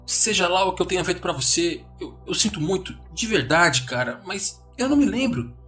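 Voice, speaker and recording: A man's voice: sits high, expressive, normal speed, fairly bright tone, faint music behind, pitched above the performer's own voice. A man voicing a terrified, weeping male captive who stammers and pleads in confusion. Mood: terrified pleading.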